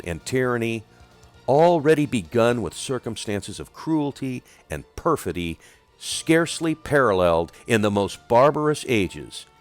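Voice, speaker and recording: Male reader, low register, widely varied intonation; solemn oratorical delivery of a historic document, deliberate and emphatic, music underneath. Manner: oratorical, deliberate phrasing with emphatic swells and falling phrase endings. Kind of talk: solemn dramatic recitation of a formal document.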